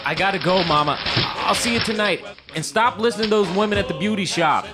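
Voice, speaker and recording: A man's voice: high voice, a little fast, loud music behind the voice, natural voice. An actor playing an eager, wisecracking young man in a 1950s period audio drama. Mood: exasperated. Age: 20s. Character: eager, wisecracking young screenwriter and bellboy in 1950.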